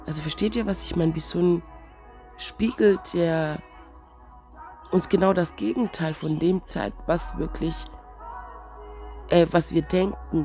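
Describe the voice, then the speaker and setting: Slow, gravelly woman with a cold. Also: low-pitched, dark tone. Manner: slow, searching, reflective musing. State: has a cold, stuffy and wheezy. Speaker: vlogger. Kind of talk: casual spiritual vlog monologue.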